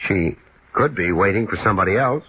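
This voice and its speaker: A tinny, hissing vintage radio recording of an unflappable American private eye. His low voice moves freely up and down as he delivers dry, casual wisecracks in the manner of fifties radio detective drama. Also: animated, a little fast. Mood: wry, easygoing. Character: wry, laid-back male private investigator, unflappable wisecracker.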